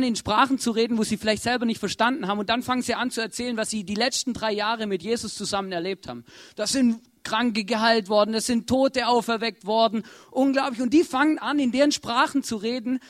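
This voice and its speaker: High-voiced man giving a casual Bible talk. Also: no room sound, clean studio sound. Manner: chatty, checks in with listeners. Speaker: youth Bible teacher.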